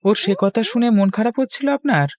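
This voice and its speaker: A man's voice: high voice, a little fast, darkish tone, no room sound, clean studio sound, natural voice. A man voicing an earnest, scheming village boy in a cartoon, with an eager, emphatic delivery. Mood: concerned. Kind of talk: cartoon voice acting.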